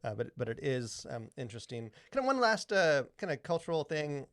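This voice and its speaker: A man's voice: mid-range voice, big pitch swings, fairly quick, no echo. A man co-hosting a podcast, chatting casually and rambling with lots of fillers. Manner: rambling, many fillers like "like" and "you know". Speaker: podcast co-host. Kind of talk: casual chat.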